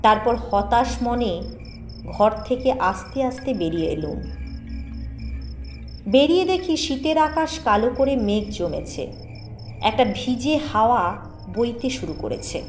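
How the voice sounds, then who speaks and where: A woman narrating a ghost story in an expressive, deliberate way, with dramatic pauses. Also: on the high side. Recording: echoing voice over music bed.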